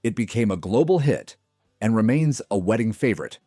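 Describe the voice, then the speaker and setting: A man's voice: lowish voice, lively intonation, fairly quick, mellow. A man reading a scripted music countdown as its narrator.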